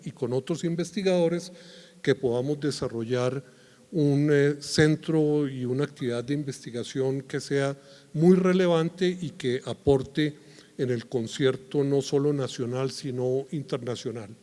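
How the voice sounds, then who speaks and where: A man gives a formal welcome from the podium, his mid-pitched voice carrying a long hall reverb. He speaks in measured phrases with filler pauses. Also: dark tone. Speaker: university host introducing the speaker.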